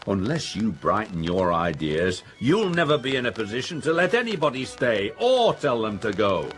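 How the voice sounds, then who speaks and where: A man's voice: on the low side, big pitch swings, moderate pace. An actor playing a pompous, irritable middle-aged factory owner and father in a radio drama, with a blustering, authoritative manner. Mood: irritable, dismissive. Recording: music under the voice.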